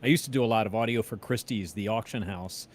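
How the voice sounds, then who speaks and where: A man's voice: lowish voice, quick pace. A man interviewing in a casual chat, rambling a little and searching for his words.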